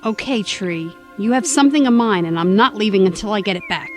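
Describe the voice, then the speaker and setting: An actress playing a plucky young travelling companion in an audio drama, with a wry, playful, quippy manner. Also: female voice, mid-range voice, lively intonation, a little fast, soft background music, natural voice. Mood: determined, friendly. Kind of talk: scripted audio drama acting.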